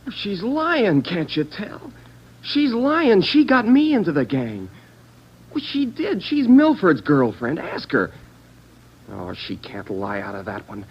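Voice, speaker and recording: A man's voice: sits high, wildly swooping pitch, takes it slowly, slightly rough, heavily acted. An actor playing a scared, whiny teenage male suspect in 1940s radio style, stammering and pleading. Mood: defensive, agitated. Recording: dull 1940s radio recording.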